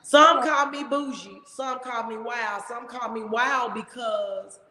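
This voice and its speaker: A woman hosting a casual talk show, with a big, loud voice and an emphatic, expressive way of talking. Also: fairly high pitch, slow pace, crowd noise. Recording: echoey room with long reverb.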